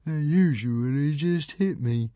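Brief: a man playing a depressed, resigned caged dragon, low and slow, dry close sound. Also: dark tone, no room sound, highs cut off. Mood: glum. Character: sad, weary male dragon trapped in a cage.

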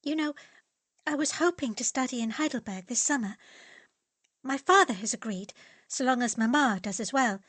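A woman's voice: on the high side, moderate pace, long pauses, fairly bright tone, no room sound. A woman reading a heartfelt letter in the voice of an earnest young English woman. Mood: affectionate.

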